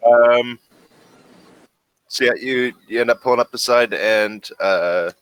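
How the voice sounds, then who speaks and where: A man running a tabletop role-playing session as game master, talking in a relaxed, halting way with many fillers. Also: mid-range voice, clear, resonant tone, dry recording, low-quality recording.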